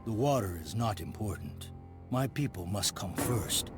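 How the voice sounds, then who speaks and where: Deep, solemn, proud chieftain. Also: male voice, expressive, unhurried. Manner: formal, stately, solemn. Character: solemn, proud Inca leader, protective of his people. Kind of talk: video game cutscene acting. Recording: game music under the voice. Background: music.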